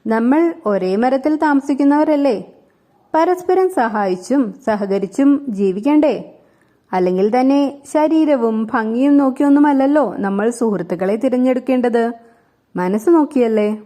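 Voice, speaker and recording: A kind female bird from a children's cartoon tale, as a woman storyteller plays her. Her voice is high and mellow, with a gentle, sensible manner. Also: a little slow. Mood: friendly.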